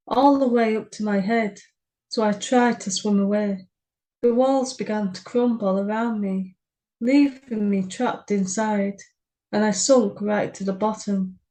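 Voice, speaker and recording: A woman reads her own poem in a quiet, measured voice with a mellow, warm tone. She chats with dry humour between readings. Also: medium pitch. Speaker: podcast co-host and poet.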